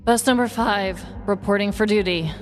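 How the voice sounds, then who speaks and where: A woman's voice: medium pitch, normal speed, faint music behind, lightly acted. A woman playing a weary, exasperated middle-aged school bus driver in a comic audio story, whining half-heartedly. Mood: reluctant. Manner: half-hearted, whimpering, complaining. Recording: echoey, noisy recording.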